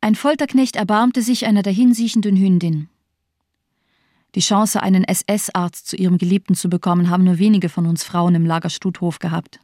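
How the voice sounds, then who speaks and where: A woman's voice: fairly low pitch, fairly even intonation, normal speed, mellow, dry recording, studio quality, lightly acted. An actress playing an embittered, disillusioned woman survivor in a radio drama, speaking coldly with bitter sarcasm. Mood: cold, indifferent. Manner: cold, lifeless, sardonic delivery.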